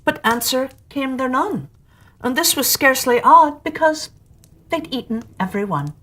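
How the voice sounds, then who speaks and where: A woman storyteller reading narrative verse aloud in an expressive, theatrical manner. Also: high voice, normal speed.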